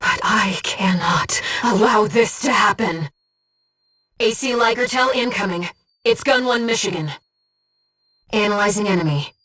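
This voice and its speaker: A woman voicing a gentle, disembodied young female companion in a video game, giving a mercenary pilot composed, earnest and precise tactical reports in a soft, breathy voice. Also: medium pitch, a little slow, long pauses, far from the mic, soft background music. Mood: troubled, solemn. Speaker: mission operator companion.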